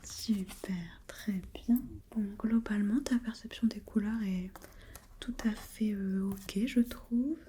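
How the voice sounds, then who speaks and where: A woman whispering breathily in an ASMR role-play as an examiner, gently asking step-by-step questions and giving short encouragements. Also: mid-range voice, slow, a little hiss or hum.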